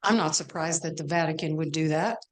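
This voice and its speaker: Woman doing a pagan goddess in a play, self-assured, fairly low voice, matter-of-fact storytelling. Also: fairly quick, close to an everyday voice. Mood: wry, slighted. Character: self-assured female pagan Celtic goddess recounting her myths. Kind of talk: amateur stage play performance.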